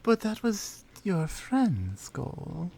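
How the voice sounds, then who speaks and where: Woman playing a slow, mellow flirty lioness. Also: fairly low pitch, huge pitch swings, gravelly, husky, exaggerated voice. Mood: gentle, curious. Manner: slow, coaxing, gently teasing. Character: flirty strong lioness woman blacksmith, teasing yet considerate. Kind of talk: roleplay ASMR audio drama.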